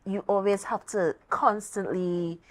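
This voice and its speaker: A woman's voice: mid-range voice, fairly even intonation, a little slow. A woman with a Tobagonian accent hosting a conversational interview, speaking expressively and repeating words for emphasis. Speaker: talk show host.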